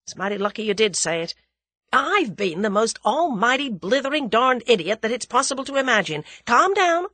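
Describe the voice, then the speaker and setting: A woman's voice: a little high, normal speed, dry recording, voice pushed up, full character voice. Woman voicing a brash young American man. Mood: subdued. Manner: loud, slangy, excitable.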